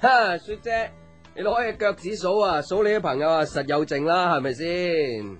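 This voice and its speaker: A man's voice: sits high, moderate pace, lightly acted. An actor with a Hong Kong Cantonese accent playing a playful, teasing young man, a caring friend, in a light conversational radio drama. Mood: joking. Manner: teasing, joking, animated with exclamations. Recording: music under the voice.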